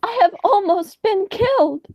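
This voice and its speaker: A woman's voice: very high voice, lively intonation, measured pace, no echo, dull audio, full character voice. A teenage girl playing a spirited, alarmed young woman in an amateur radio play, dramatic and insistent. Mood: indignant.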